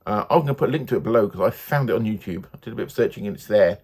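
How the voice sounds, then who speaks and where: A man giving a casual, unscripted book review in a hesitant, halting, rambling way. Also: on the low side, lively intonation, a little mellow, husky.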